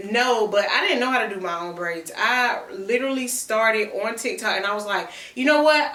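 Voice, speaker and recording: A woman in her mid-thirties chats easily through a Q&A, her voice bright and forward. She sits back from the mic in a small room that rings with echo, dropping y'all and girl as she talks. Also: on the high side, recorded from a distance. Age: 30s. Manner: chatty, answers read-out questions, y'all and girl slang. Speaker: content creator. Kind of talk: casual Q&A chat to camera.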